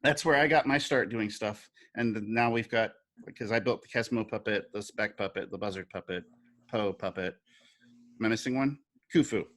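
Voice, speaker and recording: A man's voice: on the low side, normal speed, fairly bright tone. A plain-spoken man explaining his craft casually and encouragingly on a group call. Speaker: puppet builder with an art background. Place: remote virtual call.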